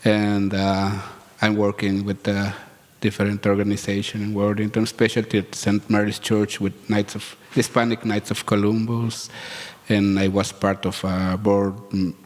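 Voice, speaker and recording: Man, low and flat, halting, telling his story in a reverberant hall. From Guatemala. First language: Mam. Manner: halting, searching for words. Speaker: workshop participant. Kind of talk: storytelling at a community workshop. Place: community hall, live event. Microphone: handheld mic.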